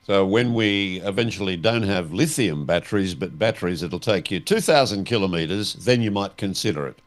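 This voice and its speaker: A man's voice: low voice, expressive, moderate pace. An Australian man co-hosting a talk show in a casual, conversational style.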